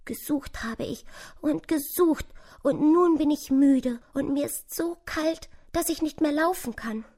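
A woman voicing a small, timid, lost little mouse in a children's story, with a soft, breathy, plaintive voice. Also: sits high, unhurried, voice pushed up.

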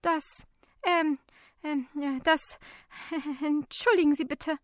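Woman acting a shrill, indignant female passenger. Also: steady tone, slow pace, no room sound, clean studio sound. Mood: flustered. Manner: scolding, haughty.

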